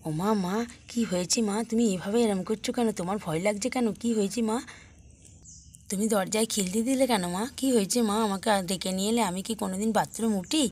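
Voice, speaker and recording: A woman's voice: medium pitch, fast talker, a little mellow, slight background noise, natural voice. A woman voicing a sleepy young daughter, whiny and full of puzzled questions, in a children's cartoon. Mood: questioning, worried.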